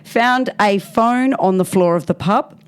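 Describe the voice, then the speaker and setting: A woman's voice: mid-range voice, unhurried, clean tone. A woman with an Australian accent co-hosting a podcast, reading out listener stories in casual comic chat and mockingly acting out the quotes. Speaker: podcast co-host.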